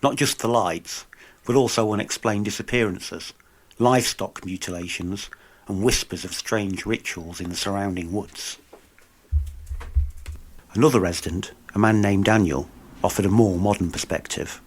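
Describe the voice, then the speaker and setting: A man's voice: fairly low pitch, moderate pace, rough recording. A man narrating a scripted true-crime podcast as investigator, solemn and dramatic.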